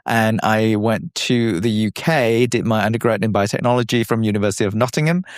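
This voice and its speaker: A man's voice: lowish voice, no echo, studio quality. A man in his thirties from Malaysia, a scientist guest on a podcast, explaining earnestly with some fillers and restarts. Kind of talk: podcast interview.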